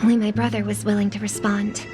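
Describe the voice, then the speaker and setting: A woman playing a gentle, refined young singer in a video game, speaking in a measured, formal and earnest way. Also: mid-range voice, flat delivery, over music, close to an everyday voice. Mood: grave. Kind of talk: video game cutscene acting.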